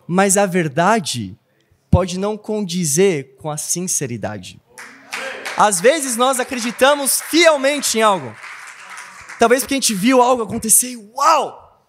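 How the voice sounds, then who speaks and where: A man's voice: high-pitched, measured pace. A young man from Brazil with a Brazilian Portuguese accent, preaching a sermon in a church hall, telling stories and often checking in with "não?". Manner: animated storytelling, asks "não?" often.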